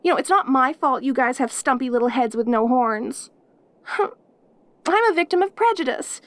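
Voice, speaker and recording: A perky, lonely demon girl, acted by a woman for an audio roleplay. Her voice is high and cutesy, and she talks straight to the listener in a clingy, gushing way with hesitant stammers. The recording is very dry, with no room sound. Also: expressive, normal speed, no room sound. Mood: sweetly pleading.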